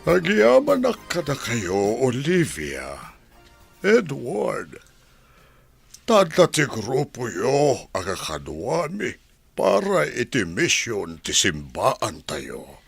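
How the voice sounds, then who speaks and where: A man playing a gentle, grateful parish priest in an Ilocano radio drama. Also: mid-range voice, wildly swooping pitch, slow, creaky, slightly rough, no echo, soft background music, full character voice. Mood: grateful, solemn.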